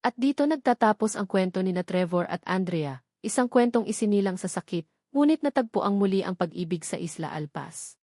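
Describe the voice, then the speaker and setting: A woman narrating a scripted romantic drama in a measured, sentimental storytelling manner. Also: mid-range voice, expressive, dark tone, dry recording, studio quality.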